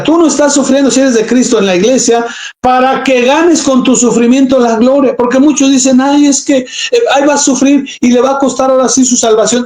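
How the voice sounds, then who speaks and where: A man's voice: high-pitched, a little mellow, roomy sound. A man preaching an evangelical sermon, fervently exhorting the congregation and calling for amens. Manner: fervent, exhorting with calls for amen.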